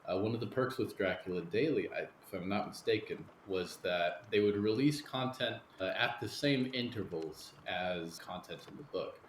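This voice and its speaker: A man's voice: on the low side, moderate pace, few pauses. A man hosting an interview in a conversational way, phrasing a question to his guests. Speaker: interview host. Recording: roomy echo, faint background noise.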